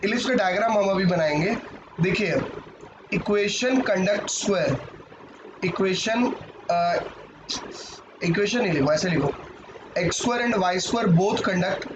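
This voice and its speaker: A man from India, teaching maths in a live online class, hoarse from a sore throat and bantering with his students. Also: high voice, animated, normal speed, long pauses. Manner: banters with students, mixes Hindi and English. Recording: muffled, roomy with background noise.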